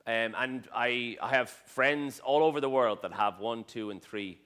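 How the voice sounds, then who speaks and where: A man's voice: medium pitch, a little slow, fairly bright tone, clear, resonant tone. A middle-aged Irish man, a restaurateur, giving a conference talk with many fillers and restarts and a dry sense of humour. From Ireland. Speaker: restaurateur and chef speaking at a conference. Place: conference hall stage.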